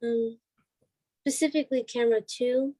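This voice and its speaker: A woman's voice: a little high, fairly even intonation, moderate pace, warm tone, no echo. A young woman chatting casually and hesitantly as an interview guest. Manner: hesitant, trailing off. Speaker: interview guest, stage play cast member. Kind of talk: casual chat.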